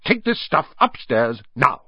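A man's voice: mid-range voice, wildly swooping pitch, measured pace, slightly rough, no echo, lo-fi sound, voice pushed up, full character voice. A man voicing a blustering, bullying middle-aged uncle with a short temper and a panicky streak in an audiobook story. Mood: snapping. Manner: shouting, snapping, muttering.

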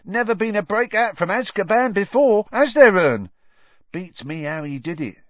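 A man voicing a chatty, excitable young cockney bus conductor, gossipy and dramatic, for a storybook. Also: high voice, moderate pace, no room sound, dull audio, voice pushed up. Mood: awed, excitable. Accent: London working-class. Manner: gossipy, dramatic, colloquial, tag questions.

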